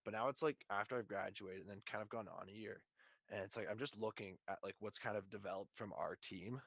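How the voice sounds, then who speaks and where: Low-voiced young man chatting casually, slangy. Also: a little fast, creaky, a bit bright, dry recording. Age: 20s. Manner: slangy, profane, bro-talk. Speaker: podcast host. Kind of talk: casual podcast chat.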